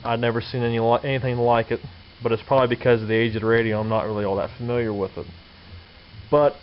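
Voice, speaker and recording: A man giving a casual, unscripted show-and-tell in a folksy, colloquial way, going through details step by step. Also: medium pitch. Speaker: vintage radio collector presenting a find. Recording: dull lo-fi camera sound, background noise.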